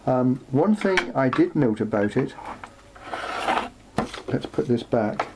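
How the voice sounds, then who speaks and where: A man presenting an unscripted show-and-tell in a workshop, speaking haltingly as he thinks aloud. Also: mid-range voice, expressive. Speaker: presenter showing vintage electronics for restoration. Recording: clearly noisy, with background hum.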